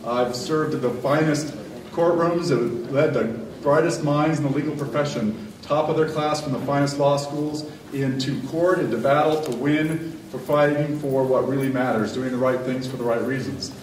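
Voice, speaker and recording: A man's voice: medium pitch, fairly even intonation, moderate pace, darkish tone. A man giving a confident, polished campaign speech to delegates as a candidate for county attorney. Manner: confident, polished, self-promoting. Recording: echoey room from far off, faint noise.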